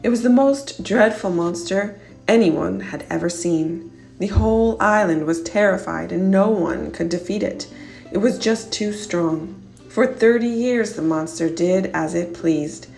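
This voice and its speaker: A woman's voice: mid-range voice, a little slow, vocal fry, darkish tone. A woman reading scripted children's story narration aloud. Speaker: storybook reader. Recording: music behind the voice. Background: music.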